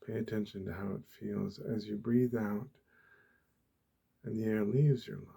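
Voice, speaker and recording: A man speaking softly and deliberately, with long pauses, guiding a meditation in a gentle ASMR style. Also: fairly low pitch, steady tone, gravelly, slightly rough. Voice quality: soft, hushed. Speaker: ASMR host, meditation guide. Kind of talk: soft-spoken ASMR guided meditation.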